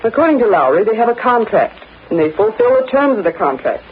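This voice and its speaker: Brisk, earnest secretary on tinny old radio. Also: female voice, on the high side, very animated, breathy edge, heavily acted. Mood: earnest, urging. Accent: American. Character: frank, concerned newspaper secretary, a woman. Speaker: actor in radio drama. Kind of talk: vintage radio drama acting. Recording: narrow-band, noisy old radio recording.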